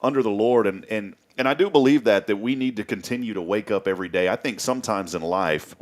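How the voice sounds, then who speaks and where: A man's voice: fairly low pitch, fast. A man hosting a morning talk show in a studio, chatting in a rambling way that often repeats words. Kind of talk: casual chat.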